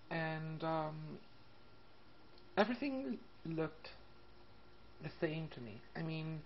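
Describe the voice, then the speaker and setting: A woman of thirty from Italy with an Italian accent, telling a personal story casually to camera in a hesitant, halting way. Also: sits low. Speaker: vlogger. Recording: muffled lo-fi webcam audio, background noise. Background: classical music and faint room noise.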